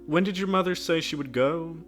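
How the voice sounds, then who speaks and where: A man's voice: on the high side, brisk, a bit bright, faint music behind, voice pushed up, close to an everyday voice. Smug riddling village girl, as a man puts her on. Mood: prim. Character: odd, teasing young village girl.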